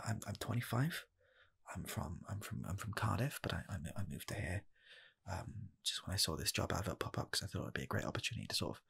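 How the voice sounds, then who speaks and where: A man performs an earnest, nervous young scientist pitching himself in a comedic ASMR roleplay. His voice is low, very breathy and husky with a gravelly fry. He speaks softly and haltingly, doubling back on his phrases. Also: exaggerated voice. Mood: nervous, eager. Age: 20s. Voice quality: soft, husky, gravelly, slipping into whispers. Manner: halting, stammering, repeats phrases. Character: eager, nervous rocket scientist applying for fast-food work. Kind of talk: comedic ASMR roleplay, improvised job interview.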